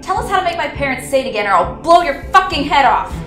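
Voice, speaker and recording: A woman's voice: high voice, very animated, fast, reverberant. A woman playing a brash, foul-mouthed teenage granddaughter in a cartoon audio drama. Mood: fierce. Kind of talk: fan-made cartoon audio drama acting. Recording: loud music under the voice.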